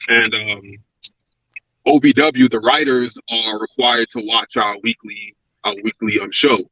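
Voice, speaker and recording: A man's voice: medium pitch, steady tone, unhurried, bright, forceful tone, no echo, dull audio. A man with a Brooklyn accent, a former wrestler being interviewed, talking reflectively with many restarts and fillers. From Brooklyn, New York. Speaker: guest, former pro wrestler. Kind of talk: casual interview talk.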